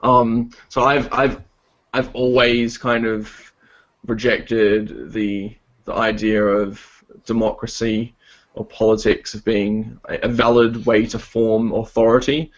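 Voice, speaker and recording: A man's voice: fairly low pitch, flat delivery, slow pace, lo-fi sound. A man, a podcast guest who talks hesitantly, full of ums and restarts. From Australia. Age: 20s. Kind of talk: casual podcast chat. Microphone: online call.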